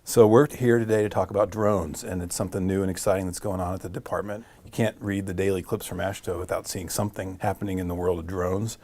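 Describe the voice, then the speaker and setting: A man's voice: sits low, fairly even intonation, fast talker, hardly any pauses, a little mellow, a little hiss or hum. A middle-aged man hosting a podcast, upbeat and encouraging, with frequent affirming sounds. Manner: upbeat, many affirming fillers. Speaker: podcast host, department director. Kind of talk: podcast host chat.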